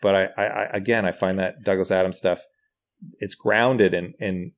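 A man in a casual podcast discussion who thinks aloud, often halting and restarting his phrases. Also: low-pitched, fairly even intonation. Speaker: podcast guest, comedy writer.

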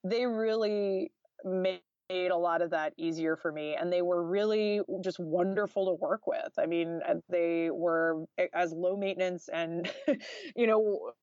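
A woman's voice: lowish voice, unhurried, darkish tone, no room sound, highs cut off. An American woman talking informally and candidly as a guest author in a podcast interview, peppering her speech with "like" and "you know.".

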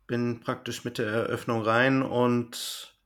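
A man's voice: medium pitch, level tone, slow, fairly bright tone. A man hosting a podcast in a casual, chatty style. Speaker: podcast host.

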